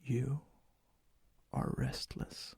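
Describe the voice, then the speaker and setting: A man playing a charming, ancient vampire and hopeless romantic in a soft-spoken, often whispered ASMR roleplay, slow, intimate and courteous, with a breathy, husky voice. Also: dry recording. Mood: flirtatious, courteous. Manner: slow, intimate, teasing, old-fashioned courtesy. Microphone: close mic, dry sound.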